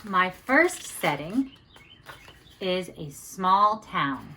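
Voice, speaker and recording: A woman teacher presenting a step-by-step lesson for children, with deliberate, encouraging delivery. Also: fairly low pitch, sing-song, pushed and bright, a little hiss or hum. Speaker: teacher presenting an educational show.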